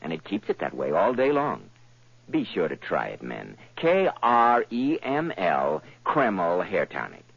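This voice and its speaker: Old radio announcer guy selling stuff, lively sing-song pitch, muffled sound. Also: unhurried, dry recording. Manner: smooth, persuasive sales pitch. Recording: dull old radio broadcast.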